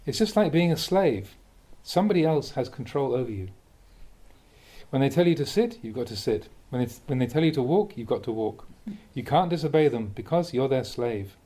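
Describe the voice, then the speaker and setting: Lively, mellow-voiced male dharma reader. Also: mid-range voice, normal speed. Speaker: Buddhist monk reading teachings. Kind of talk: reading a dharma talk aloud.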